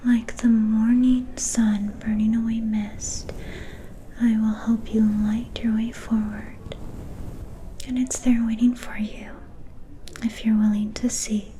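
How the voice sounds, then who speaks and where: A woman playing a mysterious, soft-spoken vampire seer in an ASMR fantasy roleplay, whispering in a breathy, ominous and cryptic way. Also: fairly high pitch, slight background noise. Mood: mystical, soothing. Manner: slow, deliberate, cryptic.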